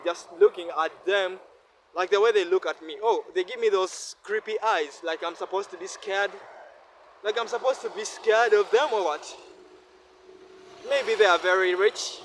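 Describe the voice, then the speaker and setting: A man's voice: high voice, fairly bright tone. A man from Uganda whose first language is Luganda, talking in an earnest, defiant and repetitive vlog monologue while filming on a city street. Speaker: vlogger. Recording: street noise behind.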